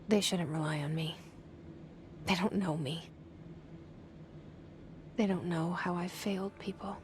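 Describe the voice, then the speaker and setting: An actress playing a guarded, haunted young woman who has just become director, talking quietly and often whispering her inner thoughts in a game cutscene. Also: on the low side, fairly even intonation, a little slow, noisy background, close to an everyday voice. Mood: tense. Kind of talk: video game cutscene acting.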